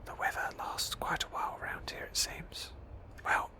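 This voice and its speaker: A woman narrating a roleplay game playthrough in a breathy ASMR whisper, slowly and with pauses.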